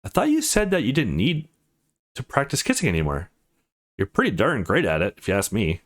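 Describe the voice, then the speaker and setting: Man reading as a playful, animated young game heroine. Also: mid-range voice, fairly quick. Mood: teasing.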